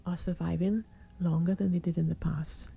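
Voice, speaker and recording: Croaky low woman, calm and reassuring. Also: normal speed, highs cut off. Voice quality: croaky, hoarse voice. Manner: calm, reassuring, explains step by step. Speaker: doctor and guest. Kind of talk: radio interview.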